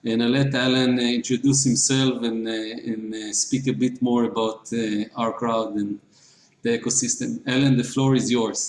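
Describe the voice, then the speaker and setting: A man hosts a webinar and introduces the next speaker. He talks haltingly, stopping often on filler sounds. His voice comes from an echoey room. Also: medium pitch, steady tone, a little hiss or hum. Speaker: event host.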